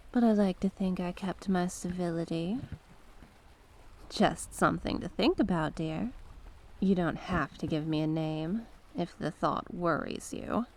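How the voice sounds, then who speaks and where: Woman doing a sly sea witch for asmr roleplay, lowish voice, really unhurried, coaxing and polite. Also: a little mellow. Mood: wry, teasing. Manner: coaxing, genteel, terms of endearment. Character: mysterious sea witch, coaxing, sly, courteous monstrous cave dweller.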